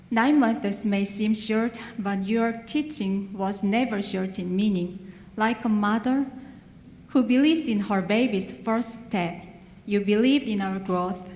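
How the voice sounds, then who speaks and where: A woman's voice: mid-range voice, slight background noise. A woman, an adult English learner whose first language is not English, giving an earnest graduation speech with small grammar slips. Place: large hall. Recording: echoey hall with long reverb.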